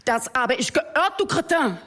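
A woman's voice: high voice, animated, moderate pace, a bit bright. A woman voicing a snooty, indignant French linen shirt in a comic story.

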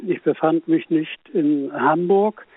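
A male caller tells his account hesitantly, often pausing on filler sounds, at a fairly high pitch. He comes through a tinny, dry phone line. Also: husky, dry recording.

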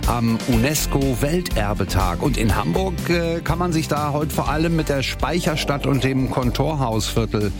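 A man's voice: mid-range voice, lively intonation, normal speed. A man presenting a live local radio magazine from a studio in a chatty, conversational way. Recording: loud music under the voice. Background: music.

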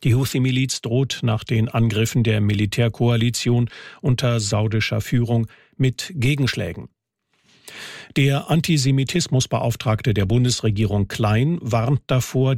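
A man's voice: mid-range voice, measured pace, gravelly, darkish tone, dry recording, studio quality. A man reading scripted radio news in a formal, factual manner. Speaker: news anchor.

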